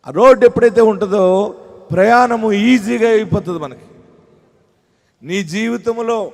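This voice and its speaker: High-voiced man preaching fervently. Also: normal speed, creaky, in a large hall. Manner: impassioned, repetitive proclaiming, swinging from hushed to loud. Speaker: pastor. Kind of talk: fervent sermon with prayer.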